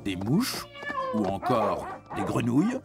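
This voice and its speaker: A man's voice: on the low side, wildly swooping pitch, slow, over loud music. A man reading scripted educational narration for children, explaining things in a reassuring way and speaking directly to the young listener.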